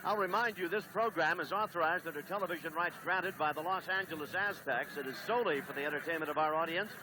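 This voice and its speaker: A man doing play-by-play on a 1970s television soccer broadcast, briskly reading lineups and standings. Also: fairly high pitch, noisy background. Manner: brisk, reads lineups and stats. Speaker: play-by-play announcer.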